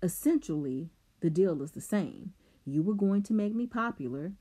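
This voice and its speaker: A woman's voice: on the low side, lively intonation, moderate pace, dark tone, pitched above the performer's own voice. A woman voicing a clever, scheming teenage boy who talks back coldly. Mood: defiant.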